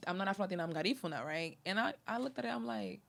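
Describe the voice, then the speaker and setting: A woman's voice: mid-range voice, lively intonation, rapid-fire, creaky, fairly bright tone. A woman from Honduras, hosting a casual chat, talking animatedly and full of fillers. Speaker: podcast host.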